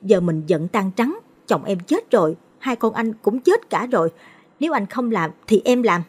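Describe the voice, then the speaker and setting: A woman's voice: mid-range voice, big pitch swings, moderate pace, slightly rough, pitched above the performer's own voice. A woman reading the part of a determined widowed younger woman. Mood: defiant.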